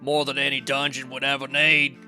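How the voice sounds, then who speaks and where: A man's voice: medium pitch, little pitch movement, brisk, pushed and bright, faint music behind. A man acting as a weary, disgruntled blacksmith in an audio drama, with blunt, grumbling working-man talk. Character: weary, disgruntled overworked male blacksmith, gruff and plain-spoken.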